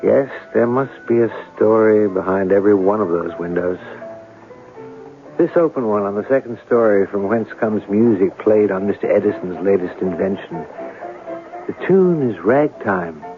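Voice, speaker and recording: An actor playing a probing, eloquent newspaper reporter with theatrical, deliberate phrasing in an old-time radio drama. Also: male voice, fairly low pitch, expressive, close to an everyday voice. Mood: musing. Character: probing, eloquent newspaper reporter in turn-of-century New York. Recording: narrowband old radio broadcast, music behind.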